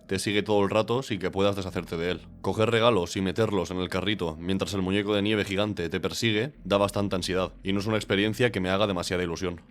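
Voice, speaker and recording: A man with a stuffy, congested voice from a cold, reading a scripted game review with dry, sarcastic humor. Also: on the low side. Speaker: game reviewer, channel host.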